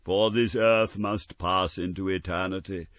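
A man voicing an anguished, solemn male ghost in chains, with slow, drawn-out wailing cries. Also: sits low, steady tone, fairly bright tone, dry recording, dull audio, pitched above the performer's own voice.

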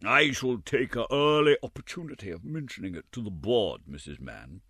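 A man's voice: medium pitch, very animated, moderate pace, fairly bright tone, slightly rough, no room sound, clean studio sound, pitched above the performer's own voice, exaggerated voice. A man voicing a pompous, choleric, fat parish beadle with a self-important, lecturing manner. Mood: condescending.

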